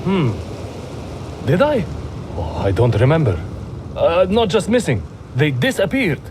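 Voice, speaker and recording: A man's voice: fairly high pitch, huge pitch swings, a little slow, background noise. An actor playing a groggy, self-pitying drunk male slave owner who talks in a slurred, rambling way.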